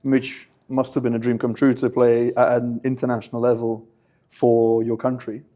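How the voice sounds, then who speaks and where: A man's voice: mid-range voice, monotone, moderate pace, dark tone. A man hosting a casual interview, asking long, chatty leading questions. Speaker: interview host.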